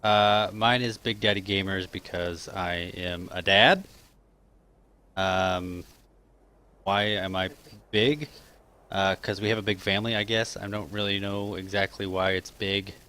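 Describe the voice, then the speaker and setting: Man, 30s, from Kansas. Low-ish, bright tone, relaxed stream host. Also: clear, resonant tone. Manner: easygoing, drawn-out fillers and quick chuckles. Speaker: streamer asking Q&A questions. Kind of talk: casual live-stream chat.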